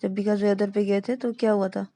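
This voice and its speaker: A woman's voice: medium pitch, little pitch movement, fast, mellow, no echo, close to an everyday voice. A woman voicing an anxious young man who keeps pressing his friend with worried questions.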